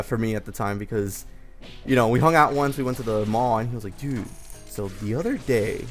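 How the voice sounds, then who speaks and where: Young male storyteller, mid-pitched voice, informal and hesitant, rambling delivery over faint music. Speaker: storyteller, host of a personal story series. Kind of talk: casual, rambling personal storytelling. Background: background music from a game.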